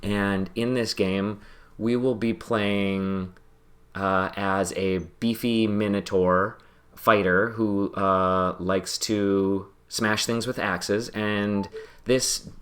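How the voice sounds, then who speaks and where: A man with a slightly low voice chats loosely as he plays a game on stream. He rambles and pokes fun at himself, full of ums and false starts. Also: steady tone, slow, a bit bright. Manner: rambling and self-deprecating, with many fillers and restarts. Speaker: podcast host playing a video game. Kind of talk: casual chat while gaming.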